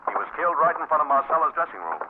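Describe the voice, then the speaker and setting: Casting: take-charge sleuth, quick animated delivery, wry edge, noisy vintage radio mystery. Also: male voice, on the high side. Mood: concerned. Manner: brisk, questioning, wry. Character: brisk, take-charge amateur detective husband. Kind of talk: 1940s radio acting. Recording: noisy, narrow old radio broadcast.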